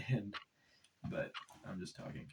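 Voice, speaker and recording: Stuffed-up young man rambling, gravelly low voice. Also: far from the mic, background noise. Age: young adult. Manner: rambling, many restarts and fillers. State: stuffed up with a cold. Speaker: vlogger. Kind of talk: casual vlog.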